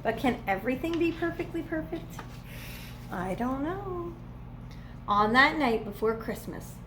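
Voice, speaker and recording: A woman reading a children's storybook aloud as a preschool teacher would, with expressive, playful emphasis. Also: sits high, a little hiss or hum, low-quality recording. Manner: dramatic, playful emphasis on repeated words.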